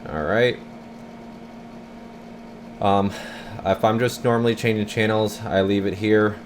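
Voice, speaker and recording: A man's voice: fairly low pitch, fairly even intonation, unhurried, a little hiss or hum. A man talking casually and unscripted as he gives a laid-back, rambling radio equipment demonstration. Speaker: channel host demonstrating a CB radio. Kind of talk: casual unscripted demo talk.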